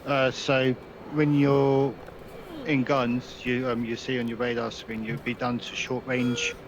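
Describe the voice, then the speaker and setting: Man, headset, monotone delivery, hoarse, noisy voice chat line. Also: medium pitch, measured pace, lo-fi sound. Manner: flat, swears when frustrated. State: losing his voice. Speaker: flight sim player. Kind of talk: casual gaming chat.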